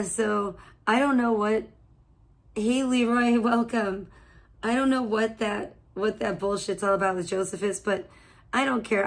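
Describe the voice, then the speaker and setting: A woman in her thirties streaming live from home, chatting loosely with viewers, slightly hoarse and swearing freely between laughs. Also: medium pitch. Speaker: livestreamer.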